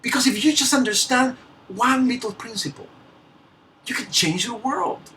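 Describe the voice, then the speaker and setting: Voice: medium pitch, normal speed, bright, forceful tone, far from the mic, a little hiss or hum, rough recording. A motivational speaker from Peru with a Peruvian Spanish accent and Spanish as a first language. They give an earnest, emphatic speech, laughing lightly and restarting words now and then.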